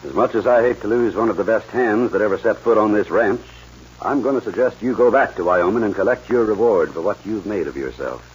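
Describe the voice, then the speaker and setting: An actor playing a fatherly, measured American ranch boss in a 1940s radio western. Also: lowish voice, breathy edge. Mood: kindly, serious. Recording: muffled, faintly noisy 1940s radio recording.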